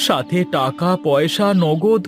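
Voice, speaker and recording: A man voicing a village shopkeeper and husband in a cartoon moral story, with a plaintive, drawn-out delivery. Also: a little high, animated, soft background music. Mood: gloomy. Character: despondent village shopkeeper brothers, husbands who lost everything.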